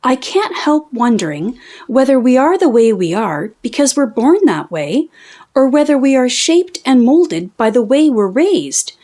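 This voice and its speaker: Woman reading as an eager, expressive young scholar. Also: high-pitched, a little slow, heavily acted. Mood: earnest, pondering.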